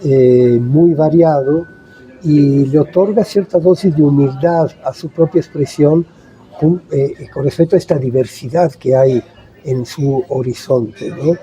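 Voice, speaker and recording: Very dark-voiced older man, reflective. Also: a little high, poor audio. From Italy. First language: Italian. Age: 70s. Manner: thoughtful, measured, pauses with frequent "eh". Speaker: guest writer. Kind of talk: reflective interview answers.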